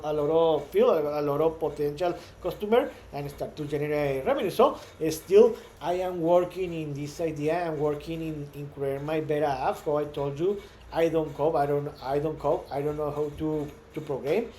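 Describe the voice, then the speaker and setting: A man from Mexico, a native Spanish speaker with a Mexican accent, talking about his startup in a halting way with many repeated words and restarts. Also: on the high side, fairly even intonation, dark tone, slight background noise. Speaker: startup founder. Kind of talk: casual monologue.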